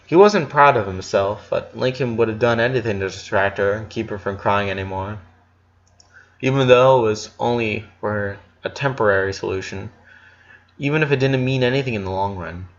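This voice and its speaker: A man's voice: lowish voice, normal speed. Man narrating, slightly muffled recording. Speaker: audiobook narrator. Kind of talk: dramatic fan fiction narration. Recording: slightly dull, muffled audio.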